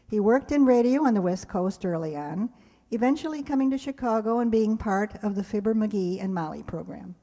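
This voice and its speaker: Mellow older woman presenting in an echoey hall. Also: on the high side. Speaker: presenter introducing a live show. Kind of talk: chatty scripted introduction to an audience. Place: hall with a live audience. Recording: echoey hall live recording.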